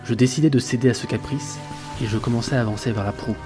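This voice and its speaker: An actor playing an earnest sailor who narrates his ship's log in a measured, reflective way for an audio drama. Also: mid-range voice, close to an everyday voice. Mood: uneasy. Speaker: narrator and lead character. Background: background music.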